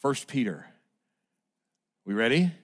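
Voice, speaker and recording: A man preaching a conversational sermon, chatty and self-mocking, checking in with the congregation as his voice swings from loud and emphatic to low and soft. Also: big pitch swings, takes it slowly. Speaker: pastor.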